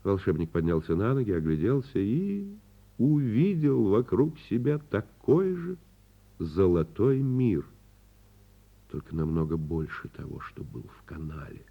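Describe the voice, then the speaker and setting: A man with a husky voice narrates a children's fairy tale as a radio play, theatrically and unhurriedly, drawing out words. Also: on the low side, big pitch swings, a little slow. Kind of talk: expressive radio storytelling for children. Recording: muffled lo-fi radio recording. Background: music.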